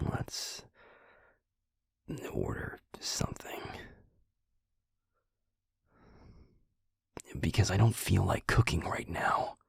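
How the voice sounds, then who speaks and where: A man playing a gruff but tender, easily flustered young werewolf boyfriend in an intimate audio roleplay, speaking in a hushed, halting, husky voice. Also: deep voice, dry recording, studio quality, exaggerated voice. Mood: grumbling, fond. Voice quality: breathy, husky, gravelly. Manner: hushed, halting, grumbling affectionate swearing.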